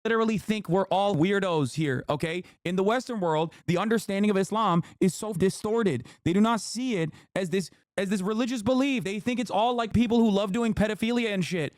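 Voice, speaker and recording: A man's voice: sits high, fairly quick, few pauses, darkish tone, dry recording. A man in a played stream clip ranting heatedly, stressing his points with a repeated "okay?". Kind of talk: ranting monologue.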